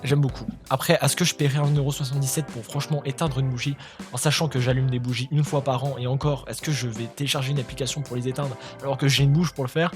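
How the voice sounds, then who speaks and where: A chatty, joking man reads online articles aloud and keeps cutting in with slangy quips. His voice sits at an ordinary middle pitch. Clear music plays behind him. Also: fairly even intonation, fast talker. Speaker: YouTuber reacting to web articles. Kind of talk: casual reaction commentary, reading articles aloud.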